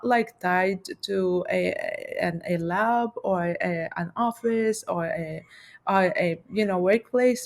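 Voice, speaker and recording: A middle-aged woman from Lebanon, a math professor talking reflectively as an interview guest, with many fillers and restarts. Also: mid-range voice. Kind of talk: casual interview talk.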